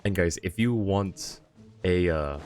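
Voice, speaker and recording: A man's voice: sits low, slow, faint music behind. A tired man streaming a game late at night, chatting casually and reading game text aloud. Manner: relaxed, mumbling, reads game text aloud. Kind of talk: casual gameplay chat.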